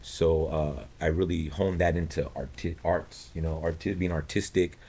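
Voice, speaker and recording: A middle-aged man with a low, rich voice, chatting and telling stories in a slangy, joking way as a podcast guest. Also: steady tone, moderate pace, dark tone, breathy edge. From East Los Angeles, United States. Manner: slangy, joking, says bro often. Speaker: podcast guest, artist and veteran. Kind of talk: casual chat, storytelling.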